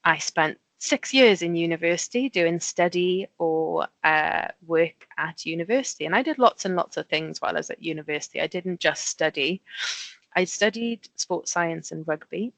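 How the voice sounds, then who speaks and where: Woman presenting to kids, low voice. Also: moderate pace, vocal fry, fairly bright tone, no echo. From Wales. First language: Welsh. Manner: chatty, simple explanations with frequent ums. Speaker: engineer giving a talk. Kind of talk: friendly presentation to schoolchildren.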